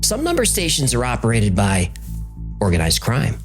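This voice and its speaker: A man's voice: mid-range voice, wildly swooping pitch, moderate pace, over music. A man narrating a scripted documentary in a conversational, explanatory way, posing rhetorical questions as host.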